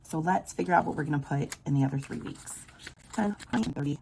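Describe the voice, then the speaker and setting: A woman with a sore, scratchy throat, quietly counting bills aloud in a casual budgeting vlog. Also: deep voice, fairly quick, gravelly. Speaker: budgeting vlogger. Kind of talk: casual cash-stuffing walkthrough.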